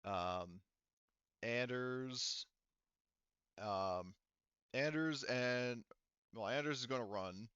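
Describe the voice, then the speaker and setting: A man's voice: medium pitch, very slow, long pauses, fairly bright tone, clean tone, no echo, muffled recording. A man working as game master, improvising narration and rulings for a tabletop roleplaying game in a casual, profane way.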